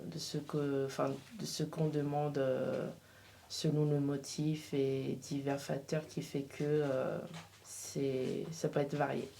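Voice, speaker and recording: A woman's voice: rumbling low, level tone, slow, vocal fry, warm tone. A woman guest answering questions in a casual interview, often hesitating and searching for her words. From Portugal. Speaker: interview guest, freelance embroiderer.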